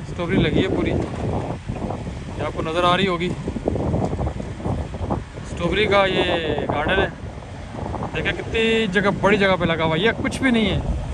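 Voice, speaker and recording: A man's voice: high voice, very noisy. Chatty trucker vlogging on his phone. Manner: chatty, addresses viewers directly. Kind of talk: casual vlog narration. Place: outdoors by truck, farm and cold warehouse. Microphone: handheld phone.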